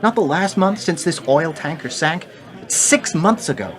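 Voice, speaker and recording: A man's voice: on the high side, expressive, normal speed, lightly acted. An actor playing a blunt, argumentative presidential adviser, a family man with strong convictions, in a radio play. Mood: challenging. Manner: earnest, argumentative.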